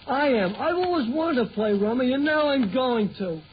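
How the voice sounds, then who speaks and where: An actor playing a scheming, sheepish teenage boy in a 1940s radio sitcom, with a high adolescent voice. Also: expressive, normal speed, music in the background. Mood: eager. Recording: muffled, noisy 1940 radio recording.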